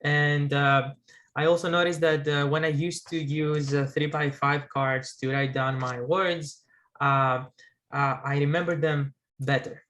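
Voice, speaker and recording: Young Greek-accented man, non-native English, hesitant, video-call laptop mic, higher-pitched. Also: little pitch movement, a little slow, a bit bright. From Greece. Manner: hesitant, many fillers and restarts. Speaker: guest. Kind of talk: casual video call conversation. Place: small bright room at home. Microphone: laptop webcam mic on a video call.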